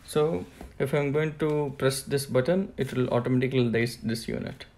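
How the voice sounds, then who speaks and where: Male tutorial presenter, gravelly mid-pitched voice, plain step-by-step product walkthrough. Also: moderate pace, a little mellow. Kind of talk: hands-on product setup walkthrough.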